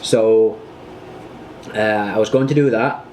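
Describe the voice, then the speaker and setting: A man's voice: lowish voice, slow pace, a little mellow, slight background noise, rough recording. A British man in his twenties, chatting casually to the camera in a rambling vlog style with plenty of fillers. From United Kingdom. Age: 20s. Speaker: vlogger.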